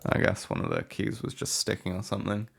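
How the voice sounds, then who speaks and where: A man giving a casual, unscripted software walkthrough as a tutorial presenter, low-key and hesitant in manner. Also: huge pitch swings, quick pace, gravelly, a little hiss or hum. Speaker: music production tutorial presenter.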